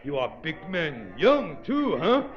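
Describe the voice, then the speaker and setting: An actor playing a hulking, brutish giant villain who speaks simple broken English in a 1930s radio melodrama. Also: male voice, fairly high pitch, huge pitch swings, takes it slowly, full character voice. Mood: menacing. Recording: muffled, noisy vintage 1930s radio recording.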